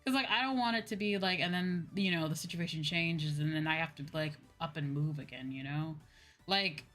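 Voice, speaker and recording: A woman's voice: sits low, moderate pace, fairly bright tone. A young woman, a livestream host chatting casually in an informal, rambling way and repeating phrases for emphasis. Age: young adult. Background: background music.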